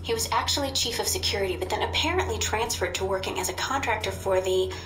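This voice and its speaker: An actress playing a composed, wry intelligence handler in a video game, with a measured, matter-of-fact manner. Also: female voice, medium pitch, a bit bright, slight background noise, close to an everyday voice. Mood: businesslike. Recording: roomy sound with music behind.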